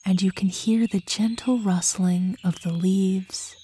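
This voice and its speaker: A woman narrating a guided meditation in a calm, soothing, unhurried way, with a soft voice that turns breathy at phrase ends. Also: mid-range voice. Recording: birdsong and nature ambience behind. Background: birdsong, outdoor nature sounds.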